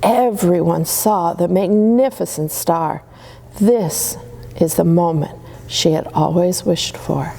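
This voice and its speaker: A woman reading Christmas stories aloud to children in a gentle, soothing way. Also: lowish voice, takes it slowly, vocal fry, a little hiss or hum. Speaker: storyteller.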